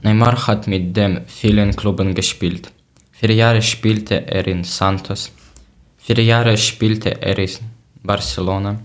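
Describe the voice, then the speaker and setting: Co-Moderator aus der Ukraine, tiefe Stimme, einfaches Lerner-Deutsch. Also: male voice, fairly low pitch, steady tone. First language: not German. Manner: plain, measured reading with short sentences. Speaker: podcast co-host. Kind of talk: reading prepared talk in learner German.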